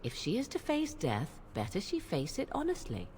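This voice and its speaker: A woman's voice: lowish voice, wildly swooping pitch, moderate pace, a little mellow, slight background noise. A woman voicing a cold, scornful young witch with a disdainful manner.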